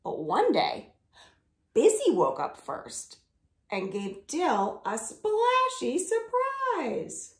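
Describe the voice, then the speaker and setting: A woman's voice: high-pitched, wildly swooping pitch. A woman hosting storytime for young children indoors at home, asking simple questions as she reads aloud. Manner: slow, simple questions addressed to small children. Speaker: children's library storytime host. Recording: echoey room.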